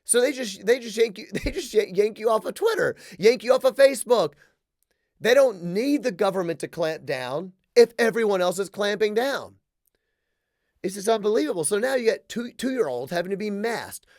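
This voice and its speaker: A man in his thirties hosting a solo podcast, ranting in an animated, sarcastic way with quick jokes. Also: sits high, no room sound, clean studio sound. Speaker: podcast host. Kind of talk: casual solo podcast rant. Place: small room with wood-plank wall.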